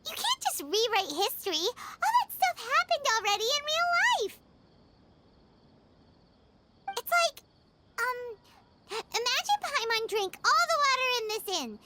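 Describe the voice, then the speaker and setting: A woman voicing a tiny, excitable and anxious childlike fairy companion in a video game, squeaky and dramatic. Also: moderate pace, background noise. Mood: indignant, animated. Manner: speaks of herself in third person, dramatic. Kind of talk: animated video game voice acting.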